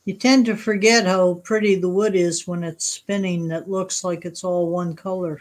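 Canadian female co-host, deadpan, self-mocking. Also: fairly low pitch, normal speed. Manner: dry, self-deprecating humor. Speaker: co-host on a live stream.

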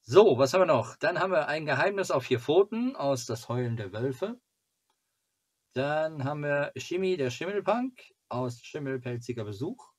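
A man in his forties hosting a casual fan club video, talking haltingly and musing to himself as he reviews merchandise. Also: a little high, animated, breathy edge. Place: small cluttered home room, indoors.

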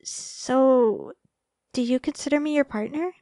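A woman playing a sweet, shy young girlfriend in a romantic ASMR roleplay, speaking softly and hesitantly with affection. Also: high-pitched, unhurried, no echo, clean studio sound. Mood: hesitant, earnest. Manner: soft, hesitant, affectionate, stammering when flustered.

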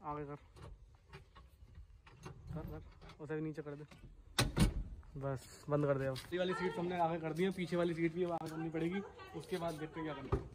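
A man's voice: on the high side, fairly even intonation, normal speed, pauses often, heavy background noise. A young man in his twenties, chatting step by step to viewers as he builds a bed in his car, recorded outdoors in a yard on a phone held at arm's length. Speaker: vlogger. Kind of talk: casual how-to vlog. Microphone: phone camera held at arm's length.

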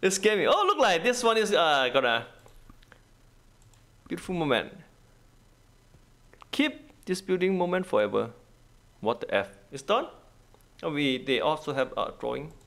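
Gravelly man with lively, swinging pitch. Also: unhurried, long pauses. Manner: thinking aloud in short, repeated phrases; non-native, broken English. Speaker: gaming video host. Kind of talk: casual gameplay commentary.

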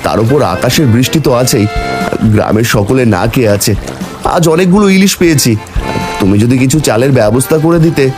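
A man's voice: medium pitch, lively intonation, fairly quick, natural voice. A man playing a poor, caring village fisherman and father in a cartoon, speaking earnestly. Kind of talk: cartoon story dubbing. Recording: loud music behind the voice. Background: music.

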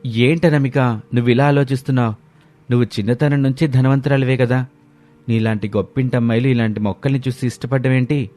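A man's voice: medium pitch, fairly even intonation, brisk, lightly acted. A man playing a caring, placating young Telugu husband and dutiful son. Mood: mild, explaining. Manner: gentle, placating.

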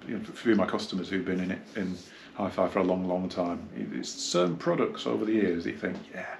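A man gives a casual, unscripted hi-fi review, rambling and halting as he reminisces. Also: deep voice, gravelly, a little hiss or hum. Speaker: hi-fi dealer and reviewer. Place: small carpeted indoor room, seated.

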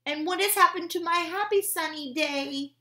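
A woman doing a squeaky, excitable young bullfrog. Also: normal speed. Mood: sad. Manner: high excited cries. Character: young male bullfrog, eager and easily worried. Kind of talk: storybook read-aloud.

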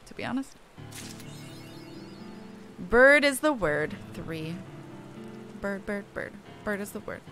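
A woman's voice: mid-range voice, fairly bright tone. A woman streaming a game with casual, playful, self-deprecating commentary.

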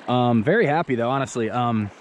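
A young man vlogging as he fishes outdoors by a creek, chatting in a relaxed, eager way into an action camera he wears. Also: medium pitch, a little fast, a little hiss or hum. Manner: relaxed, chatty, enthusiastic about fish. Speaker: fishing vlogger. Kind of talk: casual outdoor commentary. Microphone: action camera on the body.

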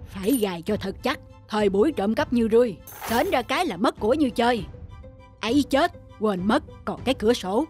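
A woman voicing a greedy, anxious middle-aged rich man in an animated folk tale, grumbling and fretful. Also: a little high, a little fast, natural voice. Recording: music under the voice.

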